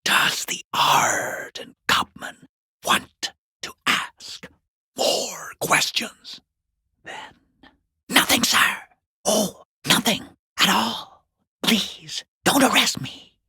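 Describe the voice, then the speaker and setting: A man playing a mocking, sinister unseen watcher in a video game, in a hoarse whispering rasp with taunting, drawn-out phrasing. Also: high voice, lively intonation, pushed and bright, no echo, clean studio sound, full character voice. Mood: mock pleading. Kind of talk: video game character voice lines.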